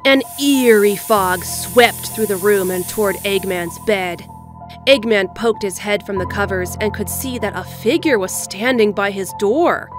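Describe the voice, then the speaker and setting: A woman reading scripted story narration in a measured, storybook delivery, as the narrator of an audio drama. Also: mid-range voice. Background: music.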